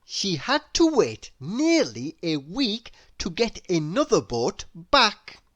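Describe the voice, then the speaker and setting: Woman reading a children's story, lively pitch. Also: no echo. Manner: deliberate, theatrical, with playful exclamations. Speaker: read-aloud storyteller. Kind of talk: expressive children's picture book narration.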